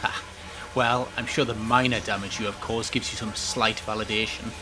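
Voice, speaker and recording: A man's voice: fairly low pitch, fairly even intonation, normal speed, sharp tone, soft background music, poor audio. A man playing a ruthless, smug villainous facility boss in an audio drama, speaking pompously and with cold menace over noise. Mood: smug, contemptuous. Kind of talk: scripted audio drama acting.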